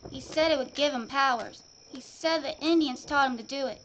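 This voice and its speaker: An actress playing a young frontier woman blinded by a killer, telling her story in a halting, haunted way. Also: high voice, noisy background, lightly acted. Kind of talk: audio drama acting. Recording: dull lo-fi audio.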